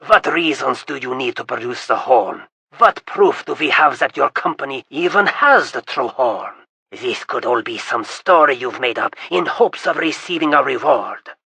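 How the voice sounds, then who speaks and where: Storybook advisor, hostile and suspicious, voiced by the narrator, slightly lower and louder, breathy. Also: animated, moderate pace, no echo, exaggerated voice. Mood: accusing. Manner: accusing, loud and scornful.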